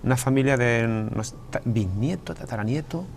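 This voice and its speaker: A man's voice: mid-range voice, normal speed, creaky, slight background noise. An Andalusian man, a writer being interviewed, talking casually with frequent restarts and repeated words. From Andalusia, Spain. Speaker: guest writer. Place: television studio.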